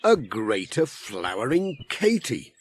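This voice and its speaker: Lively, friendly British village postman, acted by a man. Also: mid-range voice, takes it slowly, slight background noise. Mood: amused, kindly. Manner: chatty, good-humoured.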